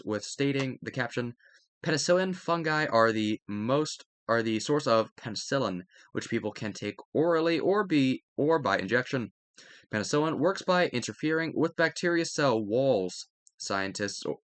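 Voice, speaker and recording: Male educational reader, mid-pitched, highly animated intonation, prone to small slips and self-corrections, dry close recording. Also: no room sound. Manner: stumbles over words and corrects himself. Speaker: reader and host of study videos. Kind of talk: reading a textbook aloud with added comments.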